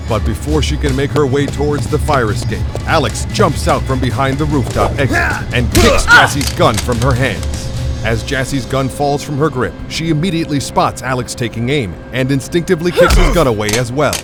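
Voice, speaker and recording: Male narrator over very loud music. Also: mid-range voice, animated, normal speed. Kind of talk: scripted action drama narration.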